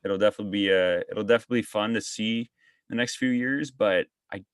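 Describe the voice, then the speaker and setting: A man's voice: lowish voice, quick pace, smooth, no echo. Young man host, dry close sound. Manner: rambling, restarts sentences. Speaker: podcast host. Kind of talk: casual conversation.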